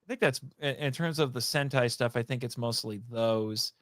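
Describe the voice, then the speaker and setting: A man chatting casually as a podcast guest, animated, often interrupting himself and thinking out loud. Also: mid-range voice, normal speed, no echo, studio quality. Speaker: guest, audio drama writer and voice actor. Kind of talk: casual fan chat.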